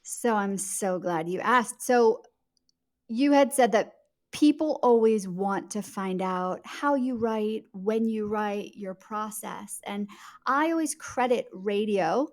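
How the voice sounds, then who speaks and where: A woman's voice: medium pitch, slow. A middle-aged woman speaking as a guest author in a podcast interview, explaining things in an emphatic, conversational way.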